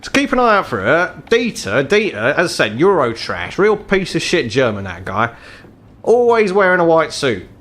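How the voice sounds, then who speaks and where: A man's voice: a little high, wildly swooping pitch, normal speed, fairly bright tone, voice pushed up. Man playing an embittered, sweary British troll. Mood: blunt, conspiratorial. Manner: blunt, sweary, world-weary. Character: embittered ageing crippled troll, gruff underworld fixer, male.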